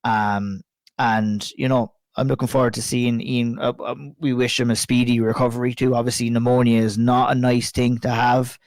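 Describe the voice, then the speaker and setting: An Irish man hosting a casual podcast chat, a bit hoarse and croaky from a flu, chatty and sweary. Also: mid-range voice, flat delivery, moderate pace, darkish tone, dry recording. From Ireland. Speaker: podcast host.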